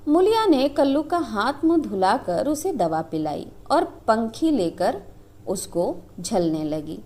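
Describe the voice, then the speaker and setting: A woman storyteller narrating a scripted story in a dramatic, expressive manner. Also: fairly high pitch, slow pace.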